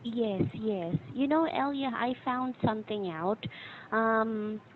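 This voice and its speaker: A woman's voice: a little high, slow, a little hiss or hum. A woman tutoring over an online call, chatty and encouraging, often humming along while the other person speaks. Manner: encouraging, chatty, frequent back-channel hums. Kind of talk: casual conversation practice. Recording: tinny phone-like call line.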